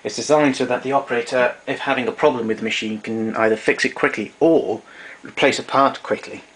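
A man's voice: mid-range voice, steady tone, brisk, a bit bright. A man giving an informal hands-on equipment demonstration in a matter-of-fact, explanatory way, recorded on a camera mic in a small room at home. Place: small domestic room indoors. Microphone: camera mic a short distance away. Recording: noisy amateur room recording. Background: clear background noise.

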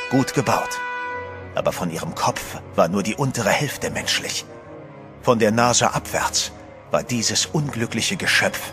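Low-voiced man narrating horror. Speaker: first-person narrator and hero. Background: music.

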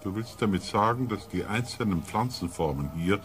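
An actor playing a sceptical, commanding expedition scientist in a science-fiction radio play, firm and matter-of-fact. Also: male voice, on the low side, animated, moderate pace, soft background music, natural voice.

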